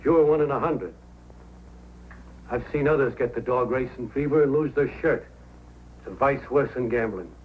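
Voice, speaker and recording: A man's voice: mid-range voice, normal speed, long pauses, a little hiss or hum, natural voice. An actor playing a stern, practical middle-aged father in an old-time radio drama, firm and scolding. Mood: earnest. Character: stern, practical father who scorns dog racing. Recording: very narrow, tinny old radio recording.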